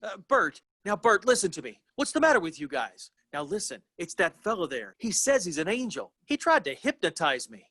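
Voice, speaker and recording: Man playing a desperate husband, high voice, swooping delivery, radio play, pleading. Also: sing-song, brisk, few pauses, pushed and bright, dry recording, heavily acted. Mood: bewildered, alarmed. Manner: agitated, pleading, exclamatory. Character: desperate, frantic family man pleading for his life. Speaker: actor. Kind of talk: old-time radio play acting.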